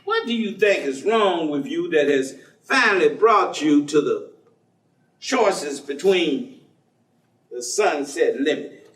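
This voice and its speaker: Actor as high-pitched folksy ex-convict, echoey stage. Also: male voice, expressive, takes it slowly, a bit bright. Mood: probing, playful. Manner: drawling, chuckling, colloquial, coaxing. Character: folksy, streetwise ex-convict host, persistent and teasing. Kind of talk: staged play reading before an audience. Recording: echoey stage room, distant microphone.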